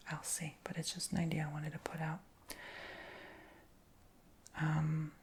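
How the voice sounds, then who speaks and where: A man whispering through a rambling ASMR storytime chat, his voice high-pitched for a man, with frequent ums and likes. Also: vocal fry, slightly rough. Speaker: ASMR creator.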